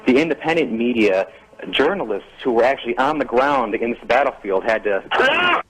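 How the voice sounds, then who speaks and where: A man's voice: medium pitch, sing-song, normal speed, fairly bright tone, breathy edge, rough recording. A man calling in to a live TV program, giving an earnest-sounding comment on the news.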